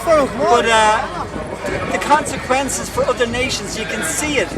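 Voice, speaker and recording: Older man, high voice, shouting over a protest. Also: unhurried. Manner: earnest, emphatic, raising his voice over the noise. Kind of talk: street interview. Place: outdoor street protest. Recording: loud crowd and music at an outdoor protest.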